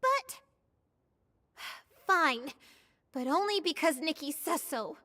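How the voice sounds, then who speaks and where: An actress playing a feisty, blunt young girl in a game cutscene, sharp and impatient. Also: high voice, lively intonation, slow pace, long reverb tail, full character voice.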